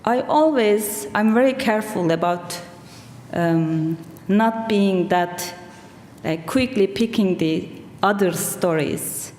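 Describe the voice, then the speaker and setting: A woman's voice: mid-range voice, a little mellow. A woman in her forties, a poet whose first language is Kurdish, speaking English with a Turkish accent, answering thoughtfully on a festival panel into a table microphone. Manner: slow, thoughtful, searching for words. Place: large hall with audience. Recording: roomy hall reverb, faint room noise.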